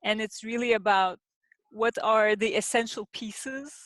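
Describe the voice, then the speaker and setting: A woman, an industrial designer answering as a podcast guest, explaining her work in a measured, thoughtful way with pauses. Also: a little high, a bit bright, no echo. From Turkey. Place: home in the city, sheltering in place.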